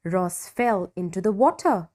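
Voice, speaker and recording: A woman narrates a storybook for young children at a very unhurried pace. Her intonation is lively and varied, with deliberate dramatic emphasis. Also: medium pitch. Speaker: storybook reader.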